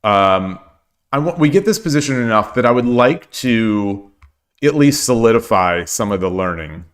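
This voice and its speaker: A man with a hoarse voice streaming shogi, talking casually and thinking aloud as he looks over his games, often repeating his phrases. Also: fairly low pitch, expressive, normal speed. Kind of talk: casual game commentary.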